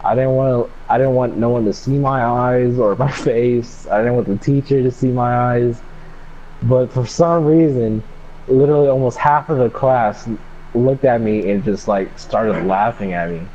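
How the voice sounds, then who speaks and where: Young adult man, mellow tone, slangy casual storytelling, dull noisy mic. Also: mid-range voice, fairly even intonation, moderate pace. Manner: laid-back, slangy, sprinkled with swearing. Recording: dull lo-fi mic with background noise.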